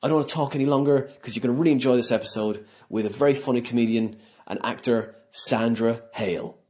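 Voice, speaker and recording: A man's voice: mid-range voice, quick pace, darkish tone. A man hosting a podcast, chatting casually and interviewing in a jokey, self-deprecating way. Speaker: podcast host.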